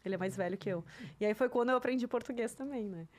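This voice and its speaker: A woman's voice: a little high, fast. A woman in her thirties, a native German speaker, chatting reflectively as an interview guest and often tagging her sentences with "né?". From southern Brazil. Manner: reflective, tags sentences with "né?", light laughs. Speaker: interview guest, career mentor. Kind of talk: casual interview chat.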